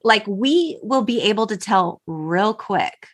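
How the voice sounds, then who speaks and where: A woman's voice: medium pitch, lively intonation, takes it slowly. A woman hosting a podcast, retelling a film in an enthusiastic, emphatic, casual way and voicing quotes as she goes. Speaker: podcast host.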